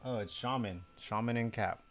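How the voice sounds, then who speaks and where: A man's voice: mid-range voice, moderate pace, faint music behind. A young man from Mexico chatting casually on a live stream, sleepy, rambling and self-deprecating, swearing now and then.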